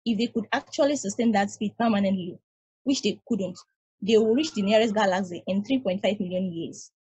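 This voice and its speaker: A weary ancient space probe, read by a woman, talks with calm, patient reasoning. The voice is mellow and slightly husky. It sounds dry and close, like a video call. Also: medium pitch, fairly quick, dry recording, highs cut off. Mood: lecturing. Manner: calm, reasoning, explaining at length.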